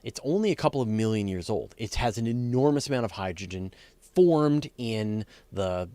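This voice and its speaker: A man's voice: on the low side, animated, normal speed, warm tone, no echo. A man hosting a live question and answer session, explaining things off the cuff in plain examples and stressing key words. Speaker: host of a live astronomy Q&A.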